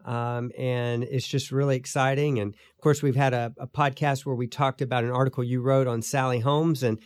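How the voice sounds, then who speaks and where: Middle-aged male podcast co-host in his fifties, mid-pitched, hesitant and filler-heavy, close and dry. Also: flat delivery, normal speed, no room sound. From born in Guyana, raised in Belize. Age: 50s. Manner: hesitant, many fillers and restarts. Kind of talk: casual interview chat.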